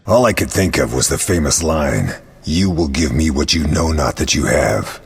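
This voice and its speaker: A man playing a grave, battle-hardened monster hunter in a video game, measured and matter-of-fact, with a raspy, gravelly voice. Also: rumbling low, animated, heavily acted. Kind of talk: video game dialogue acting. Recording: long cavernous reverb.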